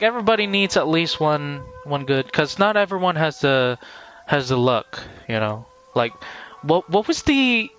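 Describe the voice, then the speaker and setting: A man's voice: on the high side, a bit bright, poor audio. A man chatting casually and in a rambling way as a game streamer, talking to his viewers over gameplay. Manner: rambling, addresses viewers by name.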